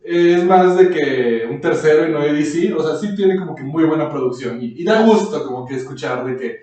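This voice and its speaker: A man's voice: on the high side, normal speed, warm tone. A man with a Mexican accent chatting casually as a podcast co-host, rambling and slangy. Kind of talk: casual chat reviewing fiction. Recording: very echoey room, distant mic.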